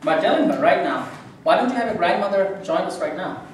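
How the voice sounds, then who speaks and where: A man acting a cautious hospital social worker in a staged reading, measured, formal and explanatory. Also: high voice, very animated. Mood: conciliatory, practical. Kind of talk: amateur staged reading of a play. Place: live staged reading in a reverberant room. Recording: very echoey room, distant mic, faint noise.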